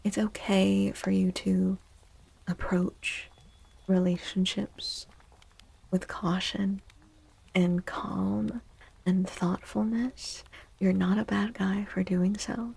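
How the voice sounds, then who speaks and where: Slow, breathy, soft-spoken woman doing ASMR. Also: mid-range voice, level tone. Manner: gentle, reassuring, unhurried. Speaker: livestream host and tarot reader. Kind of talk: soft-spoken ASMR tarot reading, near whisper.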